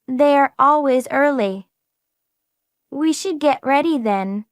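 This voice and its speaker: Woman reading a high-voiced friendly speaker, slow careful delivery, dry close mic, beginner English lesson. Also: lively intonation, dry recording. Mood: plain. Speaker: dialogue partner in English practice. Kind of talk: slow scripted practice dialogue.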